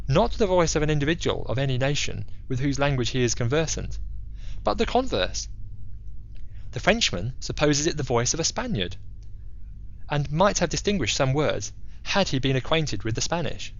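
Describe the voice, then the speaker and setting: A man's voice: fairly high pitch, lo-fi sound. A man playing a self-assured, analytical detective in an audio drama, speaking formally and methodically with theatrical emphasis. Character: C. Auguste Dupin, analytical, self-assured male detective in Paris. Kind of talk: theatrical audiobook character monologue.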